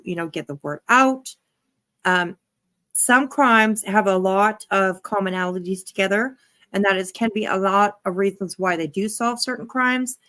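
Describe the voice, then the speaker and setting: A woman hosting a casual online stream, chatty and quick to repeat words of agreement. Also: mid-range voice, fairly bright tone. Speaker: stream host.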